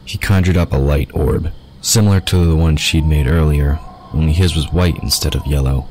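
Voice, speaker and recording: Very deep-voiced male narrator. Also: moderate pace, a little mellow, a little hiss or hum. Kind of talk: scripted fantasy audio drama narration. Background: ambient sound effects.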